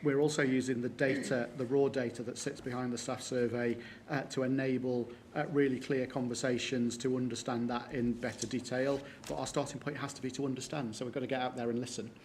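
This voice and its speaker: A man presenting a report at a formal board meeting, halting and full of filler sounds. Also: medium pitch, little pitch movement, a little mellow, slight background noise. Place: meeting room.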